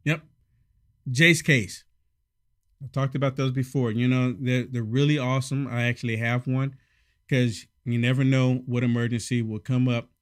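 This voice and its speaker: Man, hoarse from a cold, sarcastic, emphatic, repeats himself, commentary host. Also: mid-range voice, steady tone, moderate pace. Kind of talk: casual opinion commentary.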